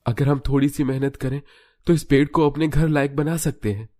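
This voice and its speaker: A man's voice: medium pitch, a little fast, dark tone, close to an everyday voice. A man voicing earnest village men in a cartoon moral story, speaking emphatically. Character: grateful village headman and humble poor young husband.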